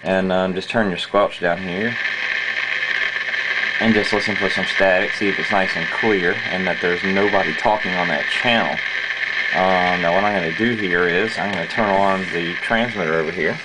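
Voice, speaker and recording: A man with a low voice talks through a hands-on hobby demonstration in a casual, unscripted way. He explains step by step, often pausing as he goes. Clear background noise runs behind him on the homemade camera recording. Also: fairly even intonation. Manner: halting, methodical explaining with frequent pauses.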